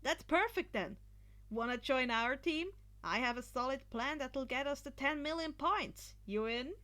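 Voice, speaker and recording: A woman's voice: high-pitched, animated, measured pace, bright, forceful tone, exaggerated voice. A woman voicing an earnest, upbeat teenage boy who plans carefully, in an audiobook of fan fiction. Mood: cheerful. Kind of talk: acted fan fiction dialogue.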